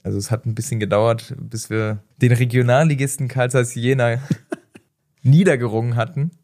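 A man's voice: medium pitch, fairly even intonation, normal speed, darkish tone. Easygoing man who laughs a lot. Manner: easygoing, laughs often, hesitant with fillers. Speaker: podcast co-host, former footballer. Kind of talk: casual chat.

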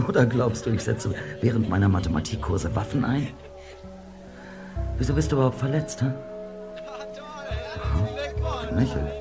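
A tough teacher hiding a dangerous past in an audio drama. The voice sits low and speaks in curt, guarded phrases, with clear music running behind it. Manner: curt, clipped, reluctant. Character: gruff tough maths teacher with a secret armed past. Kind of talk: German audio drama acting.

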